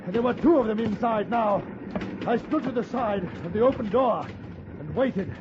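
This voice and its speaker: A man playing a brave, composed gentleman adventurer in a 1950s radio western, clipped and resolute. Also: sits high, lively intonation, normal speed. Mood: tense narration. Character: brave, composed gentleman adventurer narrating his own tale. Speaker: lead actor and narrator. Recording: dull, very noisy old radio recording.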